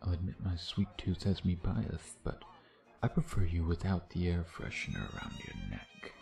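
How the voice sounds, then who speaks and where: A man's voice: low-pitched, big pitch swings, moderate pace, vocal fry, a little mellow, faint music behind, heavily acted. A man playing a suave, predatory vampire in an intimate ASMR roleplay, taunting in a sardonic, flirtatious way with murmured asides. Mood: mocking, flirtatious. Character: suave, teasing, predatory male vampire, playfully menacing.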